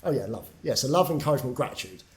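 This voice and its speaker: A man's voice: medium pitch, expressive, rapid-fire. A young man from England, a podcast guest telling his story in a candid, casual way, swearing freely and using lots of fillers. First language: English.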